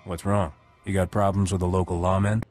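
An actor playing a cocky, flirtatious starship captain in a video game, wry and self-assured with a slightly husky voice. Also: male voice, low-pitched, flat delivery, fairly quick, darkish tone, soft background music. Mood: wry, businesslike. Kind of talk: video game dialogue acting.

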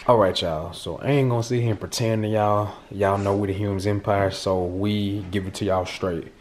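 A man's voice: on the low side, steady tone, normal speed, slight background noise. A man in his thirties hosting a casual cooking vlog at home, chatting to viewers in laid-back slang. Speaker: vlog host. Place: home kitchen and living room, indoors. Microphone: handheld camera at arm's length.

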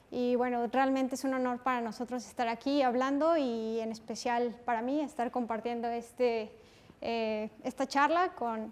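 A woman in her thirties from Mexico with a Mexican Spanish accent, introducing a conference talk earnestly with many hesitant fillers. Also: high voice. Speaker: conference speaker. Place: lecture room with audience. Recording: reverberant lecture hall.

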